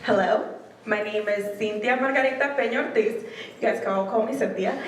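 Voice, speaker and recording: A woman from the Dominican Republic and New York City gives an informal personal testimonial to an audience, telling it as animated storytelling with quoted dialogue. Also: medium pitch, moderate pace, a little hiss or hum. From Dominican Republic, later New York City. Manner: animated storytelling, quoting herself and others. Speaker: law student ambassador speaker. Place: large indoor lecture hall, speaking into handheld microphone. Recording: echoey hall, distant camera mic.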